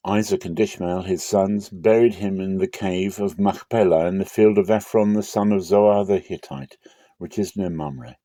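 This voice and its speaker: A man giving a dramatic scripture reading in measured, short phrases. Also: low voice, dark tone, no echo. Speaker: Bible reader.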